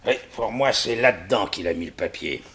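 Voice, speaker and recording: Vintage radio gangster: slangy, cocky, bright, very lively intonation. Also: male voice, fairly low pitch, a little fast. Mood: smug, intent. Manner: slangy, offhand, animated. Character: cocky, chuckling, slangy crook. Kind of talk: 1960s French radio serial acting.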